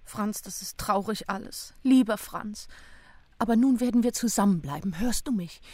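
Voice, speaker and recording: An actress playing a tender young woman consoling her beloved in a radio drama, soft and pleading. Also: medium pitch, normal speed, dry recording, close to an everyday voice. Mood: tender, urgent.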